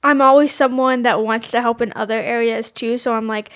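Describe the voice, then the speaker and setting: A woman's voice: fairly high pitch, steady tone, brisk, fairly bright tone. A woman in her twenties co-hosting a podcast, talking casually and rambling, searching for words with lots of fillers. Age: 20s. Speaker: podcast co-host. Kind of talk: casual chat.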